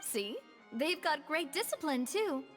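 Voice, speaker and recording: A woman's voice: high voice, very animated, a little slow, in a large hall, soft background music. A woman playing a poised, determined young lady who leads an organisation, polite and articulate, in anime-style game dialogue. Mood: composed.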